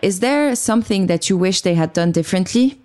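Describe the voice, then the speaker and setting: A woman's voice: medium pitch, expressive, a little fast, mellow. A woman hosting a podcast, speaking in introductions and interview questions to a guest.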